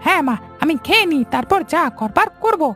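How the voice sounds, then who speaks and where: Bratty young boy for cartoon folk tale: piercingly high, whiny, greedy, spiteful. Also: big pitch swings, fairly quick, bright, forceful tone, heavily acted. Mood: spiteful, eager. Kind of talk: Bengali fairy-tale cartoon voice acting. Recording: music under the voice.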